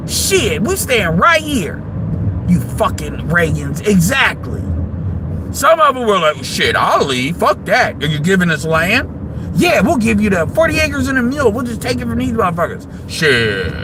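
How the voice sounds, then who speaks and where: A man, delivering a heated, profane monologue that rises into shouts. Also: extremely high pitch, big pitch swings, normal speed, background noise. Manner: heated, combative, swearing freely, rising to shouts. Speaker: livestream commentator.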